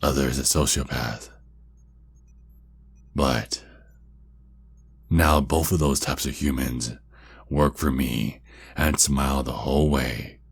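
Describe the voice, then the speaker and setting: A man playing a taunting, sinister centuries-old vampire kidnapper in an ASMR roleplay, with a breathy, husky voice and slow, mocking menace. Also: a bass voice, fairly even intonation, full character voice. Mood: taunting, gleeful.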